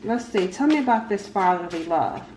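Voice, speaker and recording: A woman's voice: medium pitch, expressive, a little mellow. Female tarot host, informal and improvised, repeats herself while reading cards; roomy sound with slight background noise. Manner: halting, repeats phrases, talks to spirit and cards. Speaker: tarot reader, channel host. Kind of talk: casual tarot card reading, unscripted. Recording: echoey room with faint background noise.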